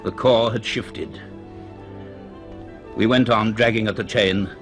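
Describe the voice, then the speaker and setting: A man giving deliberate, suspenseful scripted narration for a radio drama. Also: on the low side, steady tone, a bit bright, faint music behind.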